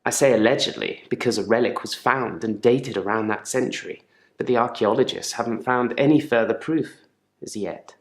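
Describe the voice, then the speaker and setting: An actor playing a controlled, quietly menacing therapy patient in a game drama, speaking in a measured, deliberate and politely probing way. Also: male voice, mid-range voice, roomy sound, close to an everyday voice. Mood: calm, reflective. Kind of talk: live-action game drama monologue.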